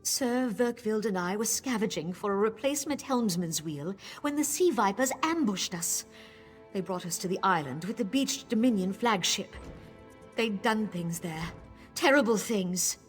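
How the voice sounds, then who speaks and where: A woman's voice: mid-range voice, animated, moderate pace, close to an everyday voice. An actress playing a frightened, urgent female sailor who escaped captivity in a fantasy video game. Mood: alarmed, urgent. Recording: game music behind the voice.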